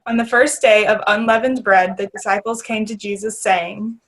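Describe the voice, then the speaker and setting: A woman's voice: mid-range voice, steady tone, normal speed, fairly bright tone, rough recording. A woman reading scripted scripture narration as the narrator of a readers theater.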